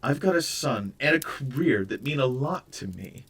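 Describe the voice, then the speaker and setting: A man's voice: medium pitch, animated, moderate pace, vocal fry, fairly bright tone, breathy edge, pitched above the performer's own voice, heavily acted. A man voicing a stern, exasperated young mother who scolds firmly and reproachfully. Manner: firm and reproachful. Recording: voice a bit far from the mic.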